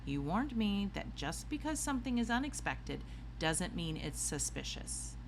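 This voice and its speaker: Woman voicing a bright, inquisitive young girl. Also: lowish voice, very animated, measured pace, a little hiss or hum, pitched above the performer's own voice, poor audio. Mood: inquisitive, reasoning.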